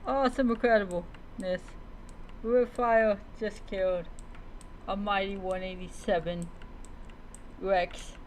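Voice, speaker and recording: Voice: mid-range voice, steady tone, slow pace, long pauses. Playful game streamer over noisy game sound. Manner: playful, talks to game creatures like pets. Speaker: streamer playing a survival game. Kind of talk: casual gameplay commentary.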